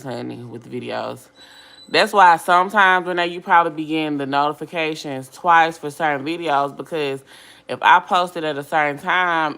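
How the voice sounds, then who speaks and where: Woman, livestream host, low scratchy voice with a bright, forceful edge, chatty and upbeat with viewers. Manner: reads viewer comments aloud and answers them, chatty and upbeat. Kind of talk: casual livestream chat with viewers.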